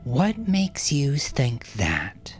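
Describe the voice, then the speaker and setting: Guy doing a bitter feral young woman, pitched way up, snarly and sardonic, storybook drama, music under it. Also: huge pitch swings, extremely slow, full character voice. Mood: bitter challenge.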